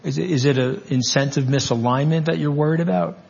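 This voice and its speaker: A man's voice: on the high side, fairly even intonation, fast talker, creaky, a little mellow, husky, big-room sound, dull audio. A man interviewing a guest, asking casual questions with chatty, informal asides.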